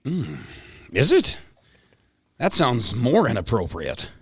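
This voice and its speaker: Man playing a flustered, greedy principal, lively delivery. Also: mid-range voice, husky, no echo, studio quality, voice pushed down, heavily acted. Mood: squeamish, exasperated. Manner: halting, exclamatory. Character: flustered, greedy, long-winded male school principal. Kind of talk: amateur table read of a comic play.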